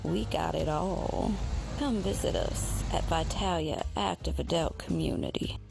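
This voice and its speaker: Female ad spokesperson, extremely creaky, unhurried folksy pitch over music. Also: rough recording. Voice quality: creaky, gravelly. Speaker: advertisement spokesperson.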